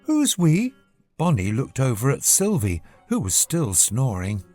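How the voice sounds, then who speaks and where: A storyteller giving a measured, expressive scripted narration of a children's bedtime story. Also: mid-range voice, faint music behind.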